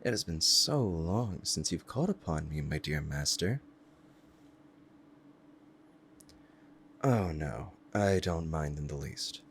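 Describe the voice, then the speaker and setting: A man's voice: extremely low pitch, animated, moderate pace, slight background noise. A man playing a powerful, proud demon devoted to a master, speaking in a formal, courtly and menacing way in an ASMR roleplay drama. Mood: fond, courtly.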